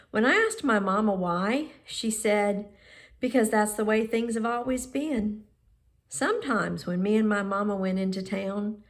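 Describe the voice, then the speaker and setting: A woman reading a children's picture book aloud in measured, expressive phrases with pauses. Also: mid-range voice. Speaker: storybook reader.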